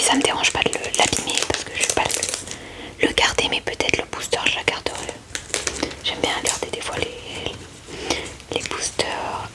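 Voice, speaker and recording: A woman whispers breathily and gently into a close microphone, murmuring short informal remarks as ASMR commentary. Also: low-quality recording. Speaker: ASMR video creator.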